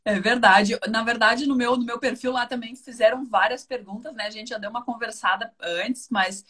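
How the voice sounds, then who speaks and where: Husky, higher-voiced southern Brazilian woman. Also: fairly even intonation, normal speed, sharp tone. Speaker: guest nutritionist. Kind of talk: conversational explanation in a live online talk.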